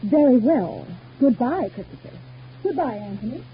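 An actress playing a brisk, demanding woman in an old radio soap. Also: fairly high pitch, lively intonation, close to an everyday voice. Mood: cool, clipped. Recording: dull, noisy old radio recording.

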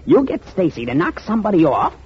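An actor playing a nervous, stammering, middle-aged male gang stooge with a high voice, in an old radio drama. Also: wildly swooping pitch, quick pace, husky, exaggerated voice. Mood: jittery. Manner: nervous, stammering, eager to please. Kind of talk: 1940s radio acting. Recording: dull lo-fi old radio recording.

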